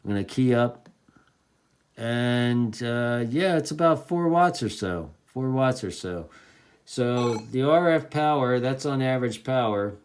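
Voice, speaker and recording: A man's voice: mid-range voice, lively intonation, unhurried, smooth. A man giving a casual, enthusiastic product demonstration in an informal, folksy way, like a reviewer talking to hobbyists. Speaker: radio reviewer.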